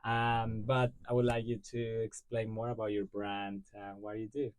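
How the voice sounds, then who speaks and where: A man hosting a podcast interview, friendly and halting, restarting his questions. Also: fairly low pitch, little pitch movement. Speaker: podcast host. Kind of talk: casual interview.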